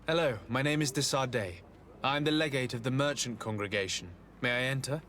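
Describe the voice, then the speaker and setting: Game role: courteous noble legate male actor, formal, measured, middle-pitched, with ambience behind. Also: a bit bright, slight background noise, lightly acted. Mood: polite. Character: courteous young nobleman legate, composed and diplomatic leader. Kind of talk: video game cutscene acting.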